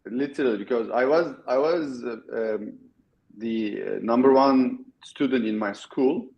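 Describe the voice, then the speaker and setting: A man's voice: medium pitch, a little mellow. Turkish-accented man on a dull call line. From Turkey. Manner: halting, many restarts and fillers. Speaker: guest. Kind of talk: casual interview talk.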